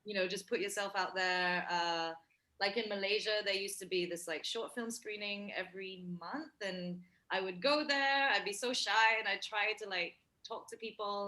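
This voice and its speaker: Bright-voiced woman film director. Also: medium pitch. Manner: friendly, rambling with fillers. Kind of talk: casual panel talk.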